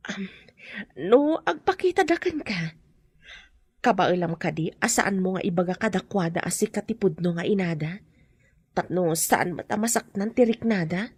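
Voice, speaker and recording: An actress playing a concerned, cautious, protective friend in an Ilocano radio drama, with a husky voice. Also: female voice, fairly low pitch, lively intonation, normal speed, darkish tone, no echo.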